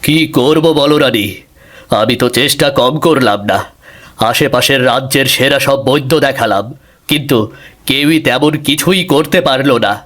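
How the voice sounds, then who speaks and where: A man's voice: medium pitch, a little slow, a bit bright, lightly acted. A man playing a worried, commanding king and father in a fairy-tale cartoon, grave and regal. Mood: troubled.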